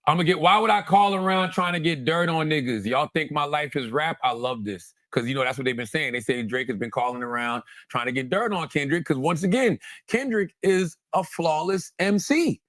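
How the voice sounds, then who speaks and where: A man with a fairly high voice talks as an animated host in casual commentary. He leans on repeated phrases for emphasis and swears freely. The sound is close and dry. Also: fairly quick, hardly any pauses, no echo. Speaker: host breaking down rap lyrics line by line.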